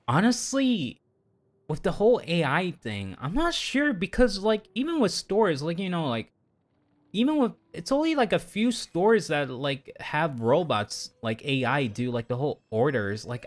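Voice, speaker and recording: A man with a medium-pitched voice talks casually to his livestream while gaming. He is opinionated and rambles, circling back and repeating himself as he thinks out loud. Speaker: VTuber livestreamer.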